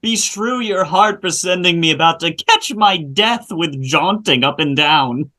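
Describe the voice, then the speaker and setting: A woman's voice: on the low side, measured pace, bright, forceful tone. Here is a weary old nurse from a Shakespeare play, read aloud by a woman. She huffs, complains about her aches and exclaims often. She teases the one she serves as she goes. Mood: aching, moaning. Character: weary, achy, teasing old female nurse.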